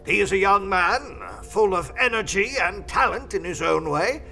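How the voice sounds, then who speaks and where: A man's voice: sits high, lively intonation, normal speed, gravelly, bright, forceful tone, exaggerated voice. A man playing a courteous employer voicing his formal letter in an audio drama. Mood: formal, regretful. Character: gout-ridden English employer dictating a courteous, formal letter. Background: music.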